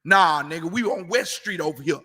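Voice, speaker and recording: A man's voice: on the high side, a little fast, bright, forceful tone, long reverb tail. A man giving a confrontational sermon as a street preacher, emphatic and repetitive, with mocking asides.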